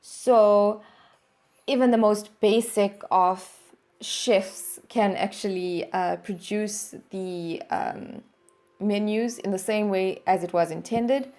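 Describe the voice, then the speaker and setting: A woman's voice: medium pitch, slow. Woman host explaining a product review. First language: English. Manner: steady explanatory delivery with fillers. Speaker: channel host reviewing a service. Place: home in Norway.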